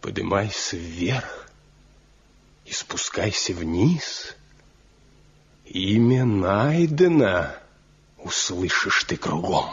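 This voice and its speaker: An actor playing a proud, stubborn master woodcarver from a mountain village in a radio drama, speaking deliberately in folksy, declamatory phrases. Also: male voice, low voice, lively intonation, breathy edge, full character voice. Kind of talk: Soviet radio drama acting. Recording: muffled 1970 radio play recording.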